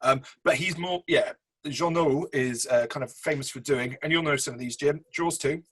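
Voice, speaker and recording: A man's voice: fairly high pitch, moderate pace, a bit bright, slightly rough. A man co-hosting a film review podcast, chatting casually in a rambling, matey, informal way.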